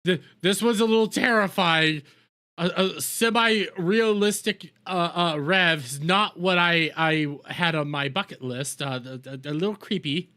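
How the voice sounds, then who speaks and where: A man giving casual live reaction commentary, excitable and quick to swear and exclaim. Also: fairly high pitch. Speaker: host reacting to a fan-made music video. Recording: music playing behind the voice. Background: guitar music.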